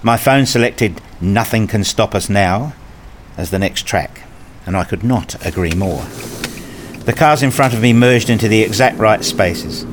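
A wry, anxious, nerdy dad played by a man telling his story in a radio play. His voice is on the low side, with dramatic, formal phrasing and noticeable noise behind it. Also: a little slow, close to an everyday voice. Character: self-deprecating, anxious, nerdy father narrating his day. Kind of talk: first-person radio play narration.

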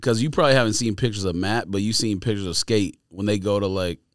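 A man's voice: lowish voice, fast talker, no echo. A man taking part in a casual, joking podcast conversation, speaking close to a studio microphone. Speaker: podcast panelist. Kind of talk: casual chat.

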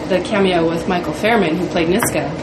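A woman's voice: on the low side, brisk. A woman guest telling a casual anecdote in an interview, acting out what people said. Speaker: podcast guest, fan film cast or crew. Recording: noisy room with background hum.

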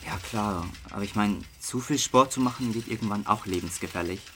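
A man's voice: on the low side, brisk, background noise, natural voice. A young man playing a curious, easygoing teenage boy in an amateur radio drama, talking casually in youth slang with some hesitancy. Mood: persuading. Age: young adult. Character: curious, easygoing teenage boy trying partying for the first time.

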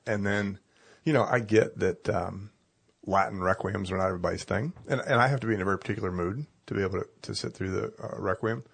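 Hoarse, gravelly low-voiced pastor. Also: male voice, quick pace. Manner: chatty, animated storytelling with laughs. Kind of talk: casual chat.

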